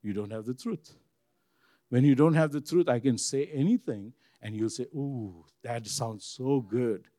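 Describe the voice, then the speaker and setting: A man with a deep, bassy voice preaching an informal sermon to a room, talking conversationally, repeating himself and asking his listeners questions. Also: measured pace, dark tone, no room sound, clean studio sound. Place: church room with congregation.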